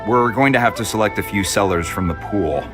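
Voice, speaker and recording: A man's voice: fairly low pitch, fairly quick, close to an everyday voice. A man voicing a genial, wry middle-aged trade official in a video game, with a familiar, bantering delivery. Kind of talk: scripted video game dialogue. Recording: game music behind.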